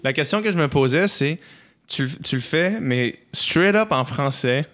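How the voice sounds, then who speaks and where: A man's voice: fairly high pitch, lively intonation, moderate pace, a bit bright. Québécois man hosting a podcast. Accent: Québécois French. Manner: interviewing, finishes guest's sentences. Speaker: podcast host. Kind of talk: casual chat.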